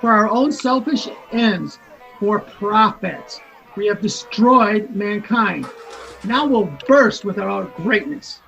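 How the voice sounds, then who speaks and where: A man's voice: high-pitched, expressive, slow pace, vocal fry, bright, forceful tone, full character voice. A man playing a remorseful, despairing man in a 1930s-style radio drama, solemn and declamatory, on a muffled recording. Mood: despairing, accusing. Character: remorseful, despairing man blaming himself for mankind's doom. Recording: muffled lo-fi sound with faint music.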